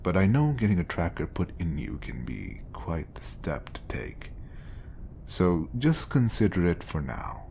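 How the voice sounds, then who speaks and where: A man playing a smooth, scheming, obsessive bodyguard who feigns polite professionalism in an audio roleplay. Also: sits low, big pitch swings, measured pace, a little mellow, slight background noise, exaggerated voice. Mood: reassuring, insistent.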